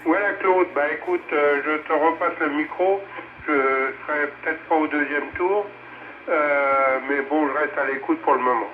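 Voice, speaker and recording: A man's voice: fairly high pitch, measured pace. An older man chatting casually as an amateur radio operator, rambling a little and searching for words, over a radio link. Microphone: two-way radio transmission. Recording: tinny, noisy VHF radio relay.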